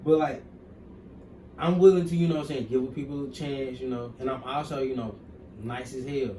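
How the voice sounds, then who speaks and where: A man's voice: medium pitch, fairly even intonation, moderate pace, warm tone. A young man from Mississippi with a Southern accent, speaking in laid-back slang and often adding "you know what I'm saying". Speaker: guest wrestler. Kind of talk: casual interview. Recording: far-off echoey room with faint noise.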